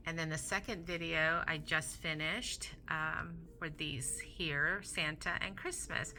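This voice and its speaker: A woman hosting a casual crafting vlog, chatty and rambling with many fillers, a little hoarse from losing her voice. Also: deep voice, steady tone, slow, a little hiss or hum. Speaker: crafting channel host. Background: soft Christmas music.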